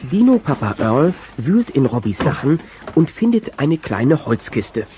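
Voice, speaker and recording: Animated male audio-play narrator. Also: fairly high pitch, unhurried, background noise. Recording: dull cassette transfer, music behind.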